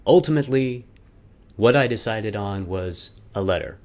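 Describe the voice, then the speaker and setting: A man's voice: lowish voice, normal speed, darkish tone. A man speaking in a wry, self-deprecating way with joking asides, as in a personal video made by its creator. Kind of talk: casual vlog intro, then loose dramatic reading of a personal letter.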